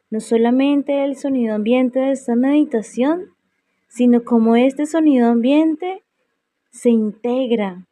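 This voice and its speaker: A woman leading a guided meditation in a serene, unhurried way, leaving long pauses between phrases. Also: fairly high pitch, mellow, no echo. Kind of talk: slow guided meditation narration.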